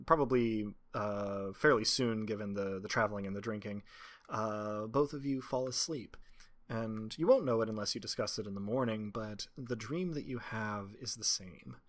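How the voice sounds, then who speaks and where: A man's voice: fairly low pitch, a little slow, a bit bright. A man narrating as game master and hosting a tabletop role-playing podcast.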